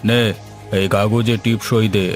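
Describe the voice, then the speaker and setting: A man's voice: on the low side, flat delivery, unhurried, soft background music, lightly acted. A man playing a stern, menacing village moneylender who talks down to his debtors in a cartoon moral story. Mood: menacing, impatient.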